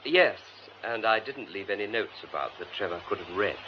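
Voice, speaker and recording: A man's voice: on the low side, lively intonation, a little fast, natural voice. An actor playing a prim, defensive theatre man in a radio drama, fussy and precise in his wording. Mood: indignant. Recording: muffled radio broadcast, faint noise.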